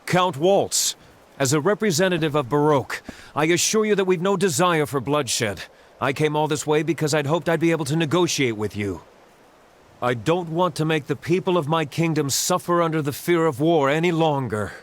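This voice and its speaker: An actor playing an earnest young prince who speaks in a measured, dignified way. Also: male voice, fairly high pitch, natural voice. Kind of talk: dubbed video game cutscene.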